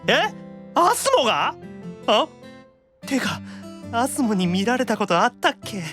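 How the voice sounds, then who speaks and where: A self-doubting young otaku demon frets through an anime-style audio drama. His high, youthful male voice is very lively, sulky and stammering, with music underneath. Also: measured pace, exaggerated voice. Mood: doubtful, shy. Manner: hesitant, stammering, exasperated muttering.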